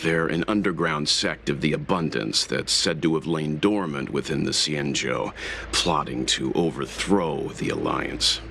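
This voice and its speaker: A man playing a grave, analytical traveler in a fantasy game, speaking in a measured, formal way. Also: very deep voice, creaky, fairly bright tone, a little hiss or hum, full character voice. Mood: grave, pondering. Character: grave, analytical adult male traveler, stoic and deliberate. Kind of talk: scripted game dialogue.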